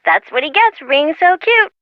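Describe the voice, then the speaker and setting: A woman's voice: high voice, very animated, normal speed, no echo. An actress playing a perky, flippant young woman, a teasing friend in a video game, heard through a telephone filter. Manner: playful, teasing. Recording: muffled lo-fi telephone-style sound.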